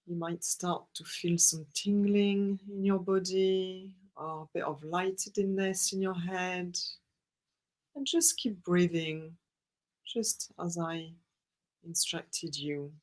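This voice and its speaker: A breathwork facilitator guiding a client through a breathing session with gentle instructions and spoken affirmations. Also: mid-range voice. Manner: slow, gentle, soothing instructions.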